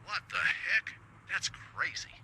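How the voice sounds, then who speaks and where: An actor playing a fussy, opinionated radio call screener in a video game, gossipy and bossy. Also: male voice, slow pace, bright, forceful tone, a little hiss or hum. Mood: startled, urging.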